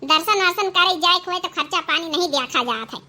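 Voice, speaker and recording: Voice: very high-pitched, fairly quick, sharp tone, a little hiss or hum, heavily acted. A performer voicing a sly, coaxing young village daughter-in-law in a comic cartoon family drama, with a rustic Awadhi accent and a wheedling, persuasive manner.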